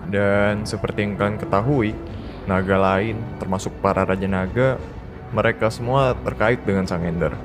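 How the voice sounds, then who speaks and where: Guy doing a low solemn dragon god soul, measured storytelling for minecraft roleplay, loud music under it. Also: steady tone, lightly acted. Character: benevolent ancient dragon god's split soul, solemn storyteller. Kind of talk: dramatic scripted narration in Minecraft roleplay. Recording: music behind.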